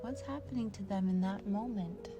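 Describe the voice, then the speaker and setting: A woman narrating a guided meditation in a soft, soothing manner, with long pauses between short phrases. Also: medium pitch, muffled recording. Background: meditation music.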